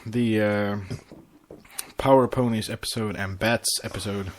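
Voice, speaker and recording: A man's voice: on the low side, steady tone, unhurried, slight background noise. A man chatting casually as a podcast co-host. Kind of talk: casual chat.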